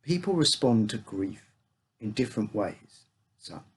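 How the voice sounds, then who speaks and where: Man acting a low, guarded, curt father. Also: big pitch swings, a little slow, husky, full character voice. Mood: subdued. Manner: curt, guarded, sometimes forcedly chummy. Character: evasive, curt, grieving middle-aged father.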